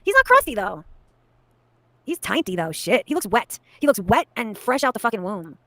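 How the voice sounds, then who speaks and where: Excitable, profane high-voiced woman. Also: moderate pace, dry recording. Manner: excitable and profane, laughs often. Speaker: livestream host. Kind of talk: casual livestream chat.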